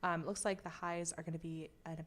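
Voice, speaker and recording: A woman's voice: lowish voice, little pitch movement, quick pace, fairly bright tone. A young American woman chatting casually on air as a radio host.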